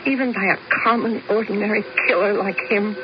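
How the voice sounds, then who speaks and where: An actress playing a lonely, manipulative woman plotting with a hired killer in a 1970s radio drama, smooth and coaxing. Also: mid-range voice, fairly even intonation, brisk, close to an everyday voice. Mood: despairing. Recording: hissy lo-fi old radio recording.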